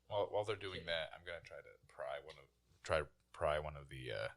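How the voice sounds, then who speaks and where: A man's voice: extremely low pitch, animated, fast, fairly bright tone. A man playing a tabletop role-playing game, describing his character's actions in casual chat.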